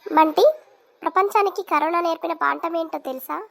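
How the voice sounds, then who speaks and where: Brief: performer doing a bossy cartoon schoolteacher, very high pitched and squeaky. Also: vocal fry, background noise. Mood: teasing. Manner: squeaky, quick cartoon delivery.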